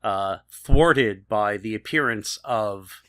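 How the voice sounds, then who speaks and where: A man co-hosting a casual podcast about television, speaking haltingly and repeating words as he goes. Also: lowish voice, a bit bright, no echo, studio quality. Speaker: podcast co-host. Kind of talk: casual TV episode discussion chat.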